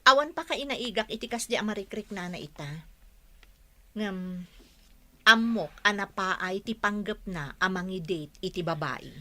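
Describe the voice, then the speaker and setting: A woman's voice: medium pitch, normal speed, bright, forceful tone, natural voice. A woman from the Philippines voicing an upset young wife and mother in an audio drama. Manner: upset, emotional.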